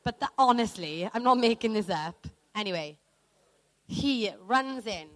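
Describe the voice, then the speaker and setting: Animated woman preacher telling a story. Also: fairly high pitch, measured pace, dry recording. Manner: animated, dramatic storytelling with acted-out quotes. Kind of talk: storytelling sermon.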